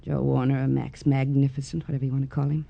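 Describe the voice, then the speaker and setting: A woman's voice: very deep voice, level tone, fast, gravelly, dark tone, breathy edge, lo-fi sound, full character voice. A woman playing a hard-edged, despairing young woman in a 1950s radio crime drama, dramatic and world-weary. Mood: bitter, despairing.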